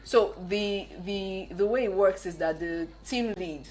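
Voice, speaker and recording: A woman's voice: mid-range voice, measured pace, darkish tone, rough recording. A woman speaking as a radio guest, explaining things methodically, step by step. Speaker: radio guest, competition organiser. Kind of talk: explanatory interview talk.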